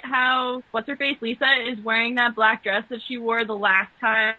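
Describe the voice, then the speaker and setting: Female co-host, bright sharp voice, casual joking movie chat, dry and slightly lo-fi. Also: on the high side, flat delivery, normal speed, no echo. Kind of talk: casual joking film commentary among friends. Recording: dull, lo-fi voice-call audio.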